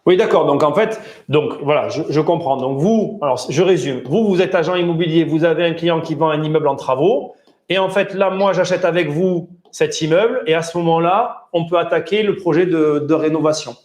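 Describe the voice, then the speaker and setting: A fairly high-voiced man from southern France hosts a podcast in a roomy, echoing space. He asks polite, probing questions and then breaks down what he heard for his listeners in a lively way. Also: fairly quick. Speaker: podcast host. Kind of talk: phone interview, then commentary to listeners.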